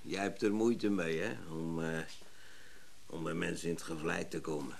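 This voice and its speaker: A man's voice: low voice, normal speed, gravelly, slight background noise. An actor playing a grumpy, stubborn older tradesman in a Dutch radio drama, blunt and sardonic. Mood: grudging.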